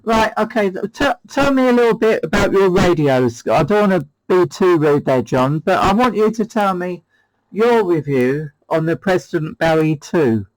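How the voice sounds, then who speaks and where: A man's voice: sits high, lively intonation, normal speed, poor audio. A British man hosting a CB radio demo, chatting casually in a hesitant, rambling way. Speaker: CB radio hobbyist and channel host.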